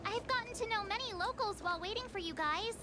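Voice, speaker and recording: A woman's voice: very high-pitched, fast talker. An actress voicing a bubbly, eager teenage girl companion in a video game cutscene. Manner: chirpy and eager. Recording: game cutscene with loud music.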